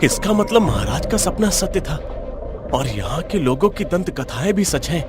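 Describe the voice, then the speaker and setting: A man's voice: on the high side, big pitch swings, moderate pace, noisy background. A man playing a humble, loyal wandering musician in a cartoon story, speaking deferentially and formally. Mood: amazed, curious. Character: humble wandering male flute player, loyal subject. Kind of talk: Hindi cartoon story dialogue.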